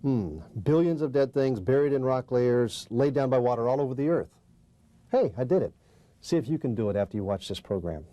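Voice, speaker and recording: A man's voice: mid-range voice, animated, fairly quick, mellow, no echo, highs cut off. A man hosting a scripted television program in a cheerful, friendly way. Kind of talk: scripted TV presentation.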